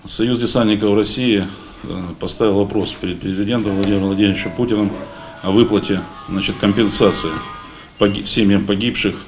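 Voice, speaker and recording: A man in his forties giving a halting, informal speech at a meeting, pausing often. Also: lowish voice, steady tone, over music. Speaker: paratrooper unit member addressing a meeting. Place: meeting hall at a lectern. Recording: noisy room recording.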